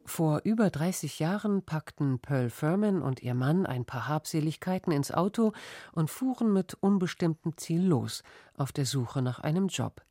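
Brief: documentary narrator, mellow tone, dry close sound, measured delivery. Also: mid-range voice, dry recording. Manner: even, measured reading.

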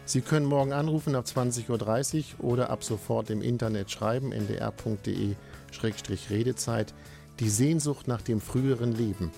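A man hosting and moderating a call-in radio discussion, calm and measured as he steers the guests. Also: fairly low pitch, creaky. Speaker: radio talk show host.

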